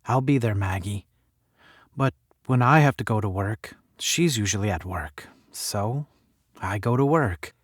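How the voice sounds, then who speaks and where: A man portrays a moody young working guy telling his own story in an audiobook. His delivery is sardonic and muttered, with lively swings in pitch. The recording is close and dry. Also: unhurried, dry recording. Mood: dreamy.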